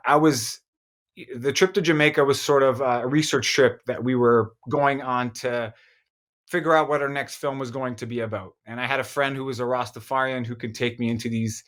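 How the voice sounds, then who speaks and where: An Egyptian-born man talking as a filmmaker guest in a casual interview, thoughtful, with frequent pauses and restarts. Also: mid-range voice, a bit bright. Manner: thoughtful, many fillers and restarts.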